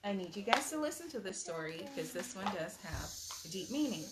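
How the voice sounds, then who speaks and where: A woman reading a children's bedtime story aloud in an expressive, emphatic storybook manner, with asides to young listeners. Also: medium pitch, a little fast, heavy background noise.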